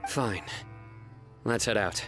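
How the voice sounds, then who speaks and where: Low, terse, deadpan young enforcer, coolly menacing, video game dialogue with faint music. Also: male voice, slow. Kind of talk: video game character acting.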